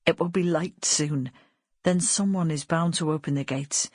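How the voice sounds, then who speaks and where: A woman's voice: sits low, moderate pace, darkish tone, breathy edge, no room sound, clean studio sound. A woman narrator playing a tender, desperate man choked with tears in an audiobook drama. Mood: tearful, apologetic. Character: grieving man abandoning his child, tender and desperate.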